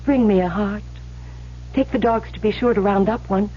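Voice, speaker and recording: An actress playing a devoted, encouraging young bride-to-be in a radio drama, ardent, coaxing and theatrical. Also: female voice, medium pitch, moderate pace, dark tone, lightly acted. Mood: ardent, urging. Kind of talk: old-time radio drama acting. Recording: muffled, lightly noisy old radio broadcast.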